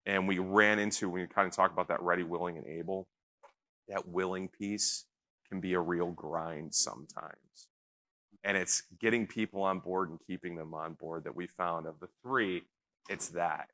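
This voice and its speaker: A man on a conference panel answering a question in an earnest way, talking in quick bursts and checking in with "right?". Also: low voice, sharp tone. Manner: earnest, fast bursts, repeats points with "right?". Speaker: panelist from a risk and audit team. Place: conference stage.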